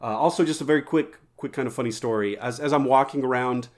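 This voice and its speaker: A man's voice: mid-range voice, fast. A man co-hosting a podcast, telling jokey, rambling stories in casual banter. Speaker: podcast co-host.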